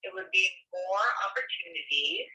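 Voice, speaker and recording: A deaf actress answering slowly in an interview and searching for her words. She is heard over a phone line. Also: mid-range voice, expressive, gravelly, sharp tone. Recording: thin phone line, distant.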